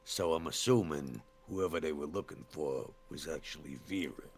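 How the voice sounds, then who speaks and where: A man's voice: extremely low pitch, moderate pace, a little mellow, breathy edge, faint music behind. A man chatting casually as a player in a tabletop roleplaying game.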